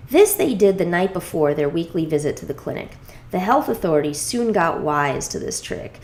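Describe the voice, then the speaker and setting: A woman with a fairly low voice delivers a scripted exposé as dramatic narration. Her delivery is deliberate and emphatic, with a rhetorical edge. The recording carries clear room echo around her words. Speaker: reader of a memoir exposé. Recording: echoey room sound.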